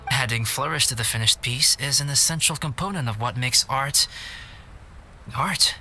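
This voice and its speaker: A man voicing a calm, cultured young artist in a video game, speaking in a measured, formal, politely aloof way. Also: medium pitch, faint music behind. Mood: gentle, reflective. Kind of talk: scripted game voice acting.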